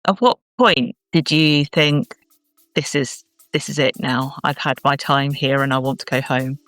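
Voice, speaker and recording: A woman's voice: very deep voice, steady tone, a little slow, a bit bright, dry recording, soft background music. A British woman speaking conversationally as a podcast host. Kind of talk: conversational interviewing and promotional talk.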